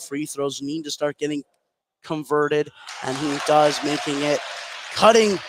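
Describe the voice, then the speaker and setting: Over clear background noise, a high-voiced man gives live basketball play-by-play. He follows the ball pass by pass and lifts into excited shouts when the big plays come. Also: measured pace. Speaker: play-by-play announcer. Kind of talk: live basketball radio commentary. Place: indoor school basketball gym, live courtside. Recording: noisy live gym broadcast.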